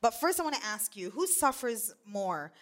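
A woman's voice: a little high, sing-song, normal speed. Female podcast host, chatty and reactive. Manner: friendly, frequent "wow" reactions. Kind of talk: podcast interview.

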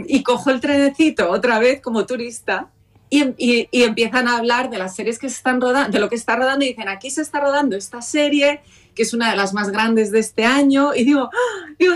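Woman telling an animated story. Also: a little high. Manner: lively anecdotal, acts out quoted dialogue. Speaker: actress guest. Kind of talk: storytelling in interview.

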